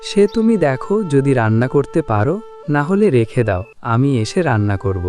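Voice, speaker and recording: A man's voice: medium pitch, sing-song, normal speed, darkish tone, soft background music, lightly acted. Man acting a weary henpecked cartoon husband. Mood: placating. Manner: plaintive, weary, meek grumbling. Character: henpecked, long-suffering poor village husband. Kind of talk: cartoon dialogue.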